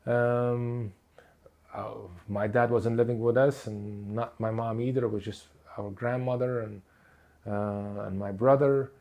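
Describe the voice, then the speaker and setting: Middle-aged guy, portuguese first language, slow thoughtful talk about practice, lots of ums. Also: medium pitch, fairly even intonation, a little mellow. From Lebanon. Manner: thoughtful, unhurried, many pauses and fillers. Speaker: speaker on inner child work. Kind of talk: reflective teaching talk.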